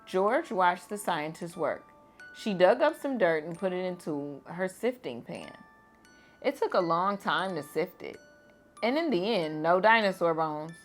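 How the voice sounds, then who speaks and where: A teacher reading a children's picture book aloud at bedtime, gentle and expressive. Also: female voice, lowish voice, measured pace, soft background music.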